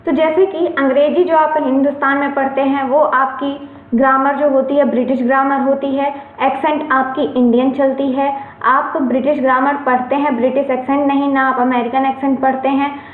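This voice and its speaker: A woman's voice: high-pitched, level tone, moderate pace. A woman teacher giving an exam-prep lesson, explaining each point step by step and often checking in with her students. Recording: echoey, phone-like lo-fi recording.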